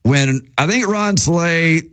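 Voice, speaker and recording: A man co-hosting a sports call-in radio show, chatting casually about games and taking calls. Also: on the high side. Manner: lively, also reads ad copy quickly.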